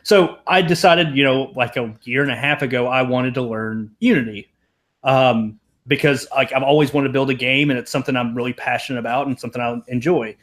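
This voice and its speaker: A man's voice: medium pitch, fairly even intonation, fairly quick, fairly bright tone. A young Southern man from Tennessee speaks as a podcast guest in a mid-range voice. He rambles through his thoughts, swears casually and pokes fun at himself. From American South, Tennessee. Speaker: guest, programmer.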